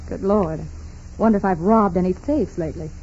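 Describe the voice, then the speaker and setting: An actress playing a brash, defiant young socialite in a 1940s radio drama, curt and challenging. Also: female voice, medium pitch, moderate pace, darkish tone, lightly acted. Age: 20s. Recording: muffled, noisy 1940s radio recording.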